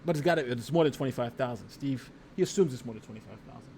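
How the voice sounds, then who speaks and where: A man's voice: medium pitch, fast talker, a little mellow, slight background noise. A man giving casual live legal commentary as a lawyer, reading a document aloud and reacting in an emphatic, conversational way, often repeating phrases. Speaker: lawyer hosting a livestream.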